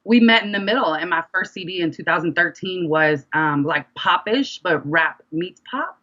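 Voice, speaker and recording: Woman chatting casually, lowish voice, muffled recording. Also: moderate pace. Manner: animated storyteller, quotes conversations in dialogue. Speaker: rapper and singer being interviewed. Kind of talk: casual interview chat.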